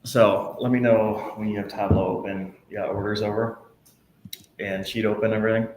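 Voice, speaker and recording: A man instructor walking a live class through software steps, patient and reassuring. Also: fairly low pitch, flat delivery, moderate pace. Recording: echoey room, faint noise.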